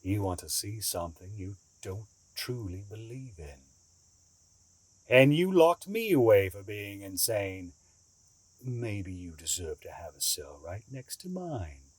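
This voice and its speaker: Slow, husky, menacing cult fanatic. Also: male voice, lowish voice, sing-song, full character voice. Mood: fervent, grave. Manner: deliberate, theatrical, condescending. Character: cunning, menacing escaped asylum inmate, calm cult fanatic. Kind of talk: audio drama acting.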